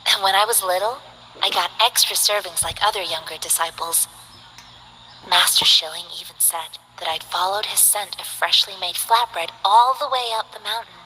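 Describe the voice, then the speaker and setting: A woman's voice: medium pitch, moderate pace, a little hiss or hum, close to an everyday voice, low-quality recording. An actress playing a composed, earnest young female Taoist martial artist in a game. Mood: calm. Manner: composed, earnest, gently formal.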